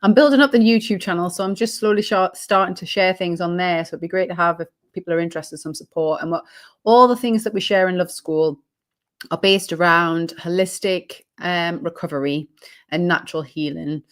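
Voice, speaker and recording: A British woman, slightly hoarse, chatting as an interview guest in a reflective, rambling way with many ums and restarts. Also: lowish voice, fairly quick. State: slightly hoarse, losing her voice. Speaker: guest, holistic healing coach. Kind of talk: casual interview chat.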